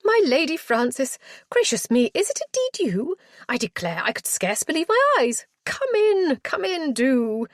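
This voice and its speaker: Lady reading as a flustered old widow, high fluttery voice, gushy and fussy, dry close sound. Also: wildly swooping pitch, measured pace, dry recording, exaggerated voice. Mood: flustered gushing. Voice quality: fluttery, gushing. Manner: bustling, effusive, old-fashioned politeness. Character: flustered fussing older village widow.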